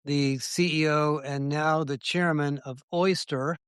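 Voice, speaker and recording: A man's voice: on the high side, steady tone, unhurried, fairly bright tone, smooth, no room sound, clean studio sound. A man hosting a podcast interview, asking questions in an upbeat, conversational way. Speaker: podcast host.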